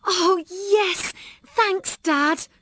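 A woman voicing a timid young schoolgirl with a high, earnest, pleading voice. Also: extremely slow, fairly bright tone, dry recording, full character voice.

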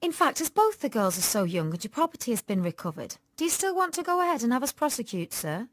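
A woman voicing a kindly adult policewoman in an audiobook story, gentle, coaxing and matter-of-fact. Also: fairly high pitch, very animated, fast talker, a little hiss or hum, pitched above the performer's own voice. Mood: soothing.

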